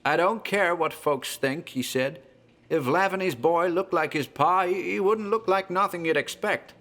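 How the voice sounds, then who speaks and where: A rustic tavern regular with a fairly high male voice. He speaks in folksy, emphatic dialect, plain-spoken and gossipy, in the manner of an audio drama. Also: normal speed, a bit bright. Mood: emphatic recollection.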